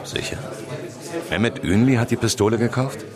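A man's voice: mid-range voice, moderate pace, crowd noise, natural voice. A man playing a seasoned, dry investigative reporter in a naturalistic radio drama, curt and probing. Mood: dry, insistent.

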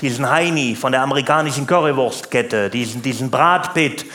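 A man's voice: mid-range voice, fairly quick, close to an everyday voice. A man performing stand-up as a chatty, naive, grumbling working-class family man, rambling and exaggerating in a folksy way. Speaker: stand-up comedian. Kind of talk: stand-up comedy monologue to live audience. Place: stage in a live comedy venue. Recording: roomy live hall, faint background noise.

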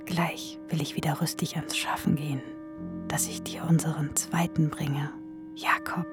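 A dying mother in a radio play, speaking in a low, faint half-whisper. She is slow, tender and brave, with plucked string music clearly behind her. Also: flat delivery. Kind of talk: literary radio drama.

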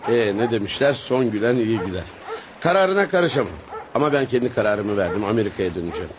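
A man's voice: on the low side, expressive, fast, crowd noise, lightly acted. Man playing wry, reluctant male sidekick. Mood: resigned, wry. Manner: protesting, wry. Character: reluctant, comfort-loving, teasing American photographer friend, male. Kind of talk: radio play acting.

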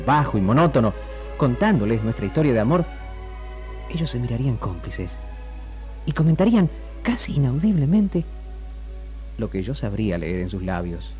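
An actor with an Argentine accent playing a lonely, melancholy man narrating a letter to a lost love in a 1960s radio drama, with measured, literary phrasing. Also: fairly low pitch, darkish tone. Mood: pained, confiding. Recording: 1960s radio recording, music underneath. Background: faint music.

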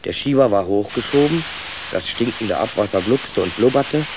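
An actor playing a plucky, loyal rat who leads and narrates in a children's radio play. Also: male voice, lowish voice, animated, moderate pace, background noise. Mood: suspenseful narrating. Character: bossy, plucky male young rat, loyal older brother.

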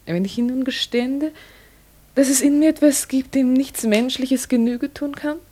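An actress playing a gentle, compassionate, wistful young woman in a German radio play, tender and reserved. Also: high-pitched, normal speed, a little hiss or hum, close to an everyday voice.

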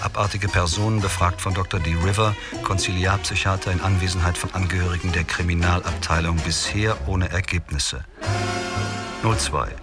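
A man voices a police report, read in a dry, matter-of-fact way with a low voice. Music plays clearly beneath the reading, as in a radio drama. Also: lightly acted. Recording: music under voice.

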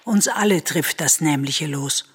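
An actress playing a consoling, motherly townswoman from the chorus of a solemn radio tragedy, with a husky, breathy voice. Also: low voice. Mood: solemn consolation. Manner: slow, solemn. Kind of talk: solemn radio drama acting of verse tragedy. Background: music.

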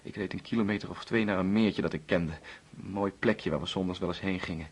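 A man acting in a radio drama as an anguished young man confessing, halting and intense as he repeats his words. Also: deep voice, breathy edge. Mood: haunted confession.

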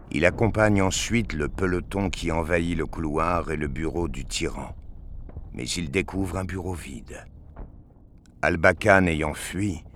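Slow, very low-voiced male story narrator. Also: a bit bright, a little hiss or hum. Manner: deliberate, intense. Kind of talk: dramatic scripted story narration. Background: prominent music.

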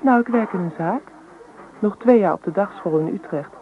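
A young woman playing a chatty, pert hairdresser in a Dutch radio drama, with a brisk, talkative manner. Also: mid-range voice, slight background noise, natural voice. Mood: chatty, open. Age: young adult. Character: chatty, pert young female hairdresser on holiday-island job. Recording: tinny narrow-band old radio recording.

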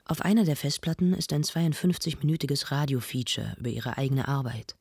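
An actor playing a measured, analytical arts presenter narrating a scripted radio feature with precision. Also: medium pitch, vocal fry, darkish tone, breathy edge, no echo, studio quality. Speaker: radio host.

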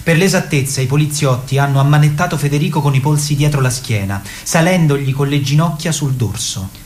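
A man's voice: medium pitch, fairly quick, a little mellow, reverberant, slight background noise. A man narrating a scripted documentary play for radio as one of its actors.

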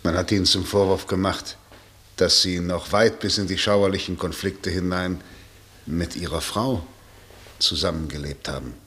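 An actor playing a sober male interrogator who questions in a measured, formal, insistent way, in 1960 radio drama style. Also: low-pitched, steady tone, a bit bright. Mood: probing. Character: sober, probing male interrogator hearing a confession.

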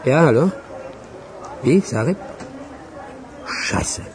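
An actor playing a bossy crime gang leader in a radio drama, curt and commanding, amid noisy ambience. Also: male voice, on the high side, expressive, very slow. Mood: controlled.

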